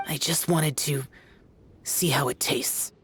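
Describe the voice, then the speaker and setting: A man's voice: on the high side, fairly even intonation, takes it slowly, very noisy. A man voicing a solemn, aloof young guardian spirit who speaks in short, cold lines, in a video game dub. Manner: terse, cold, clipped. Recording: game audio with music behind.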